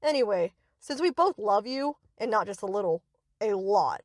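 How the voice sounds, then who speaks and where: A woman's voice: mid-range voice, lively intonation, normal speed, no room sound, studio quality, close to an everyday voice. A woman playing a sweet, reassuring but possessive young yandere best friend in an anime-style audio roleplay, coaxing and doting.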